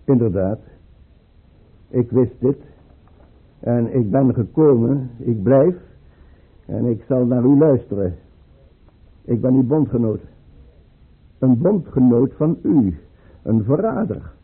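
A very husky male voice of middling pitch plays a principled, anxious chancellor. He speaks formally and with a troubled, measured air in an old radio play, recorded muffled and phone-thin. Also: animated, heavily acted. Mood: uneasy, resigned. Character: anxious, principled imperial chancellor, reluctant conspirator. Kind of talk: Dutch radio play acting.

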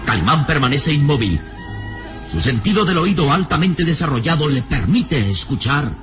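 An actor as a grave, suspenseful narrator, delivering emphatic scripted radio serial narration. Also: male voice, fairly high pitch, very animated, moderate pace, a bit bright, faint music behind.

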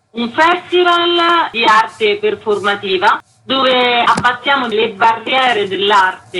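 A woman's voice: fairly high pitch, pushed and bright, slight background noise, rough recording. A woman speaking as a festival curator in a radio interview, explaining things in a conversational way.

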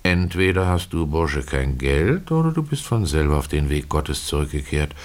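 A man's voice: a bass voice, expressive, normal speed, darkish tone. An actor playing a humble, pious Russian tailor who confides his regrets in a folksy, ruminative radio-play storytelling manner. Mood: pitying. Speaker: narrator of a literary radio play. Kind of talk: dramatic first-person storytelling.

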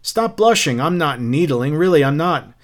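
A man's voice: on the high side, expressive, normal speed, voice pushed up, natural voice. A man voicing a smug, taunting fire captain in a story, mocking and theatrical as he spouts literary quotations. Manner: theatrical, mocking, quote-laden speech.

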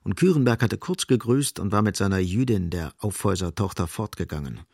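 An actor as the grave, sardonic narrator of a literary radio drama, speaking deliberately and with dramatic emphasis. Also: male voice, on the low side, expressive, vocal fry, a little mellow, no room sound, studio quality.

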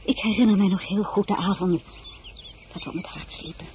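Earnest young crown princess, played by a woman, soft and wistful, radio play, noisy recording. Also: medium pitch, a little fast, close to an everyday voice. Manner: soft and wistful, turning urgent and pleading.

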